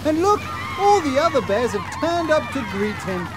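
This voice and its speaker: A man's voice: very high voice, big pitch swings, moderate pace, background noise. A man with a British accent playing a fussy, kindly children's storybook narrator in a game, theatrical and quaintly polite. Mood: cheerily curious. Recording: music under the voice.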